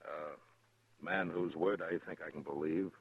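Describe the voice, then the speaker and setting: An American actor playing a steady, watchful US marshal in a 1950s radio western, speaking in a laconic, measured way. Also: male voice, very deep voice, breathy edge, exaggerated voice. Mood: firm, cautioning. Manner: laconic, measured, plain-spoken. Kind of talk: 1950s radio drama acting. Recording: muffled vintage 1950s radio recording.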